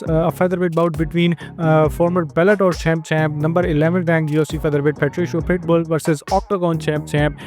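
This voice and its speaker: A man with an Indian accent hosts an informal fight news roundup, speaking to viewers in a halting, hesitant way. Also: fairly high pitch, darkish tone. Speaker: host of a fight news show.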